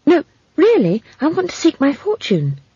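A narrator voicing a stubborn, earnest nine-year-old girl who speaks gravely and persistently in a storybook reading. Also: high voice, huge pitch swings, moderate pace, warm tone, no room sound, lo-fi sound, pitched above the performer's own voice, full character voice. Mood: pleading.

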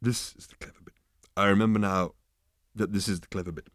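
A man's voice: on the low side, steady tone, brisk, a bit bright, no echo, clean studio sound, lightly acted. A man voicing a drunken, blithely enthusiastic character in an audiobook, rambling with emphatic repetition.

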